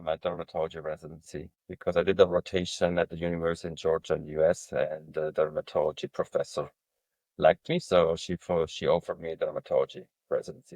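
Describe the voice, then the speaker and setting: A man from Switzerland recounts his path in a plain, matter-of-fact way. His voice is very low, and the recording sounds dry and close. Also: darkish tone, dry recording. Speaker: guest, veterinary dermatologist. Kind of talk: casual interview conversation.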